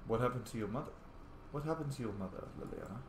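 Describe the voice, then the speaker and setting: A man casually commenting on a role-playing game and reading dialogue choices aloud, with animated, jokey asides. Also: medium pitch, fast. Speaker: gaming host, role-player. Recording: echoey room, faint background noise.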